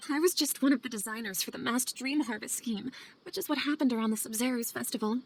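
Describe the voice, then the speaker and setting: A weary female scholar burdened by guilt in a game cutscene. Her voice sits a little high and she speaks earnestly and hesitantly, as if confessing. Ambient noise is clearly heard behind her. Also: quick pace, poor audio. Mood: earnest, troubled. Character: guilt-ridden female scholar, weary, anxious assistant to sage. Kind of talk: dramatic video game dialogue.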